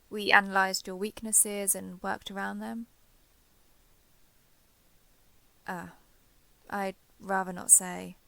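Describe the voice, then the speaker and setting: A woman's voice: mid-range voice, creaky, bright, forceful tone, no room sound, heavily acted, poor audio. A woman playing a shy, guarded villain turned reluctant ally in a roleplay audio drama, speaking hesitantly and with reserve. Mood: reticent, awkward. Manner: hesitant, halting, reserved, understated. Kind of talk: roleplay audio drama, intimate one-sided dialogue.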